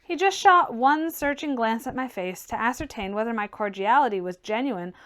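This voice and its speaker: A woman's voice: fairly high pitch, expressive, moderate pace, a bit bright. A woman reading literary fiction aloud as scripted audiobook narration. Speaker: audiobook reader.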